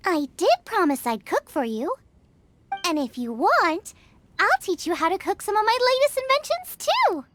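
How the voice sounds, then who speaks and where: Very high-pitched, bubbly girl chef. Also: sing-song, moderate pace, background noise. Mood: warm, breezy. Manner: bubbly, eager, encouraging. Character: cheerful, generous young girl chef, competitive and kind. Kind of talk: English video game voice acting. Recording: music playing behind the voice.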